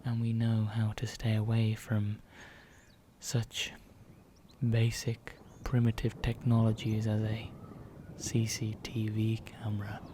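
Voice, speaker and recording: Ancient vampire, male actor, ASMR roleplay: low, very slow and hushed, calmly menacing, condescending to mortals, formal phrasing. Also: slight background noise. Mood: smug, condescending. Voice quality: soft, breathy, often dropping into whisper. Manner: slow, deliberate, pausing, formal.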